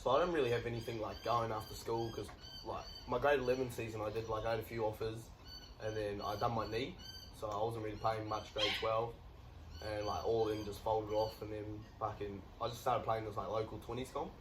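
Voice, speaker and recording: A man's voice: lowish voice, steady tone, a little fast. A nineteen-year-old man, a young rugby league player telling his story in a laid-back, rambling way and swearing casually. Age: young adult, 19. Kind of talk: casual interview chat. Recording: distant mic, faint background noise.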